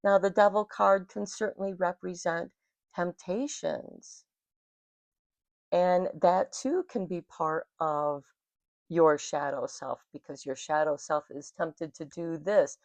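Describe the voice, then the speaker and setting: American woman tarot reader, unhurried, gravelly scratchy throat, dry close sound. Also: lowish voice, steady tone, dry recording. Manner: slow, soothing, spiritual. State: rough scratchy throat from smoke. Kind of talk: intuitive tarot reading monologue.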